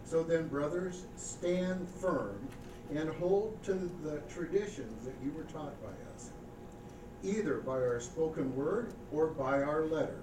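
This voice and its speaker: A man preaching a sermon as pastor, reading scripture slowly and with emphasis, then speaking casually and asking the congregation questions. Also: fairly high pitch. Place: church sanctuary. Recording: echoey church recording from far off with background noise.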